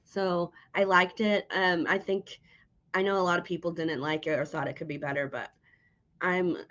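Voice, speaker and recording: Female interviewer, lower-pitched, casual and giggly conversational delivery, somewhat muffled lo-fi sound. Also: fairly even intonation, moderate pace, a bit bright. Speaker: interviewer, podcast host. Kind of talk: casual chat.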